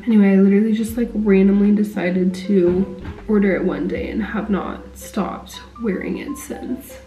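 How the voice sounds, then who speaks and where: A young woman, hoarse as she recovers from losing her voice, chatting casually to camera as a lifestyle vlogger. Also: mid-range voice, dark tone, low-quality recording. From United States. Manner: chatty, bubbly, heavy use of "like" and "you guys".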